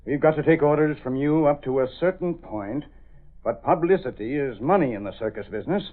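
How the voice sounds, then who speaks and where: An actor playing a harried circus manager, formal, stiff and businesslike, in 1930s radio acting style. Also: male voice, fairly high pitch, fairly quick, lightly acted. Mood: strained. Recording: muffled, narrow-band old radio recording.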